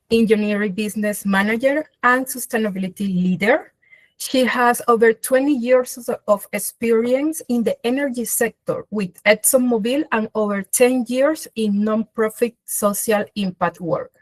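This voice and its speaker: A woman's voice: fairly high pitch, normal speed, dry recording, poor audio. A woman hosting an online webinar, introducing a guest speaker by reading her bio, with small slips and restarts. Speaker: webinar host. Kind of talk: formal introduction. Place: online video call.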